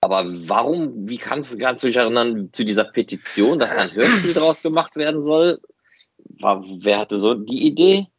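A man's voice: mid-range voice, lively intonation, normal speed, hardly any pauses, husky, no room sound, lo-fi sound. A man chatting casually as a podcast host, conversational and often checking back with short tag questions. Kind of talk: casual chat, reminiscing about a fan project.

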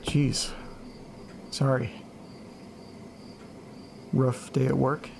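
Actor as gravelly, laid-back sleepy guy. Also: mid-range voice, level tone, very slow, darkish tone, a little hiss or hum. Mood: casual, curious. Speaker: lead actor in horror audio drama. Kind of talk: naturalistic audio drama acting.

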